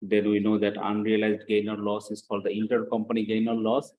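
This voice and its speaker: Male lecturer, fairly low voice. Didactic classroom delivery, repeats key points, frequent check questions. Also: completely flat delivery, a little fast, darkish tone, low-quality recording. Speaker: accounting lecturer. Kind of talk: classroom lecture, explaining worked example.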